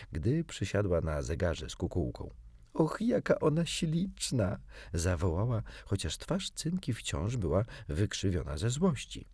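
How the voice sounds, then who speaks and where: A man reading a children's story as an expressive audiobook narrator. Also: sits low, breathy edge, dry recording.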